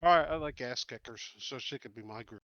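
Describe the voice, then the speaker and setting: A man's voice: mid-range voice, fairly quick, a bit bright, dry recording, lo-fi sound. A man chatting casually as a player in a tabletop game group.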